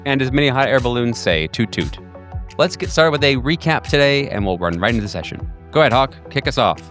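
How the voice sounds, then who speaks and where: A man hosting and narrating a tabletop horror game as game master, often pausing between phrases. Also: medium pitch, sing-song, a bit bright. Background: background music.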